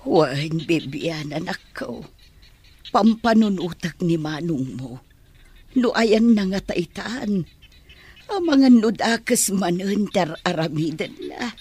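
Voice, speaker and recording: A woman's voice: on the low side, animated, slow, darkish tone, breathy edge, exaggerated voice. A woman with an Ilocano Filipino accent playing a sorrowful, worried aging mother in a radio drama. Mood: worried, weary.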